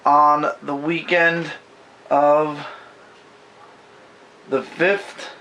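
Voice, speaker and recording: Hoarse guy behind the camera, gravelly fry, rambling and fixing himself as he goes, bedroom. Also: on the high side, level tone, very slow, sharp tone, low-quality recording. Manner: rambling, loose and self-correcting. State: losing his voice, hoarse. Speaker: comic review co-host behind the camera. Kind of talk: casual comic book review chat. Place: bedroom at home. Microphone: camera mic, speaking from behind the camera.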